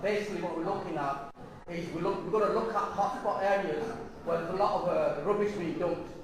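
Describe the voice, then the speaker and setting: Earnest high-voiced councillor in echoey hall. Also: male voice, fairly quick. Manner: earnest, repeats words while restarting. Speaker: local councillor. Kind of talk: community event speech. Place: community hall event. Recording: echoey hall, distant mic, crowd chatter.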